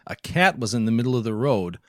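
Male co-host, mid-pitched, very dry recording, easygoing casual chat. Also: expressive, brisk, a bit bright, no echo. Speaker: podcast co-host.

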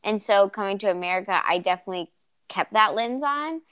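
A woman's voice: mid-range voice, brisk, smooth. A woman co-hosting a casual podcast chat, from the Philippines, talking freely and laughing often. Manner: chatty, laughs often, lively storytelling. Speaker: podcast co-host.

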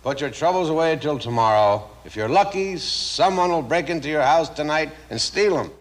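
A man's voice: a little high, lively intonation, moderate pace. A man hosting a show, delivering a comedic variety-show sign-off. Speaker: show host.

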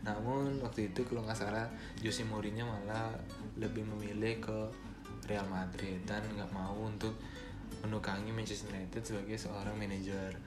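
A man's voice: on the low side, level tone, normal speed. A young man from Bali with a Balinese accent, hosting a casual solo podcast in a chatty, rambling way with fillers and self-corrections. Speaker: podcast host. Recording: echoey room with guitar music behind.

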